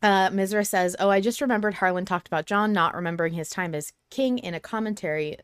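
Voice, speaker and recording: A woman's voice: medium pitch, quick pace, clear, resonant tone, no room sound, clean studio sound. A woman co-hosting a fan podcast, talking casually in a reflective, enthusiastic way. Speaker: podcast host.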